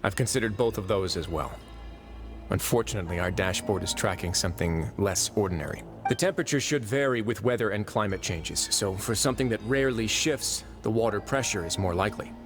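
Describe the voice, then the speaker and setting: An actor playing a calm, authoritative and wry warden of a prison fortress in a video game, speaking in measured, formal explanations. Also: male voice, on the low side, lightly acted. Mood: measured, explaining. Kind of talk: English video game voice acting. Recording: game music playing behind the voice.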